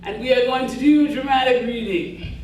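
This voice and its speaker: A woman co-hosting a live show, announcing in a playful, drawn-out way. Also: fairly high pitch, a little mellow, a little hiss or hum. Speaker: co-host. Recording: echoey room, distant mic.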